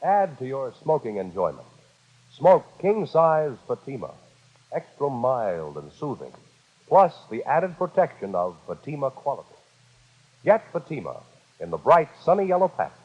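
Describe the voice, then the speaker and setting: A husky American man announces a vintage 1950s radio commercial with lively, swinging intonation. His medium-pitched voice has long reverb and a dull old-broadcast sound. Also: a little slow. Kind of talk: scripted 1950s radio advertisement.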